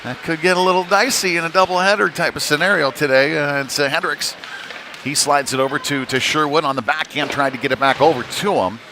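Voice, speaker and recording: A man doing live play-by-play of a youth hockey game, talking in a halting, conversational way with folksy slang. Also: a little high. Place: indoor ice rink during a live game. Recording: noisy rink, clear background ambience. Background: rink noise and game ambience.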